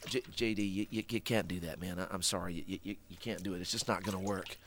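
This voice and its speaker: A man's voice: low voice, steady tone, quick pace, a bit bright, a little hiss or hum. A man hosting a kids' ministry skit, stammering and repeating words while he patiently explains things. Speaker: children's ministry host. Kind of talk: scripted comic skit for kids.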